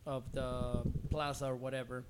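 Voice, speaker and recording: A man in his mid-forties speaks as a podcast guest with a Cuban accent, Spanish being his first language. His pitch is average and his talk is loose and rambling, full of small verbal pauses. There is noticeable noise behind him. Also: level tone. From Costa Rica, born in Cuba. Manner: hesitant, rambling, many "you know"s. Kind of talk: casual conversational interview.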